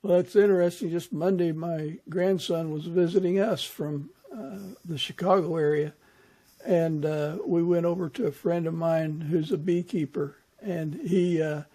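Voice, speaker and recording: A man's voice: on the high side, unhurried, warm tone. An older man hosting a podcast, chatting casually as he interviews a guest. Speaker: podcast host.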